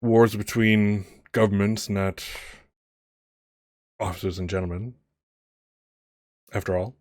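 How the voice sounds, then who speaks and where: A man playing a courteous upper-class war veteran, a gentleman officer who speaks haltingly and formally in a tabletop game. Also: on the low side, fairly even intonation, no room sound, clean studio sound. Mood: weary, magnanimous.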